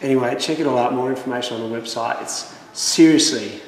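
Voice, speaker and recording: A man, casually pitching a wine auction in an offhand, chatty way, stumbling and restarting his sentences. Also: brisk. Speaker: wine promoter. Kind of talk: casual promotional chat. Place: indoor wine cellar with barrels. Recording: echoey roomy hall, faint noise.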